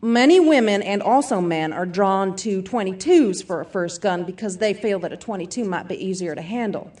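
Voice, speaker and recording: A woman's voice: mid-range voice, animated, moderate pace, a little mellow, recorded from a distance, close to an everyday voice. An actress playing a brash, upbeat self-defense seminar speaker who addresses her audience emphatically in an audio theatre piece. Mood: instructive. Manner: emphatic, peppy motivational-speaker delivery, folksy asides.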